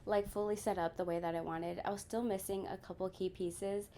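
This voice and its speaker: A woman's voice: medium pitch, fairly even intonation, fairly quick, warm tone, a little hiss or hum. A young woman chatting casually to her viewers as she gives a room tour, talking to them directly. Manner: chatty, addresses viewers as you guys. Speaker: lifestyle vlogger.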